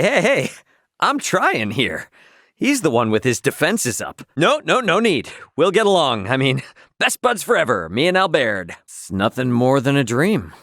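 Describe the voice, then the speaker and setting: A man playing a brash, easygoing young spaceship crewman in a video game, with casual, slangy, expressive speech. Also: medium pitch, dry recording, studio quality. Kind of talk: video game character voice acting.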